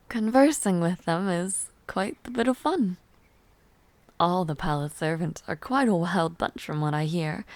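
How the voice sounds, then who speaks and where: A woman playing a refined, teasing young palace noblewoman in an intimate audio roleplay, speaking in a poised, formal, softly playful way. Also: lowish voice, no echo. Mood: amused, gossipy. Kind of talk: intimate audio roleplay acting, chatting to the listener.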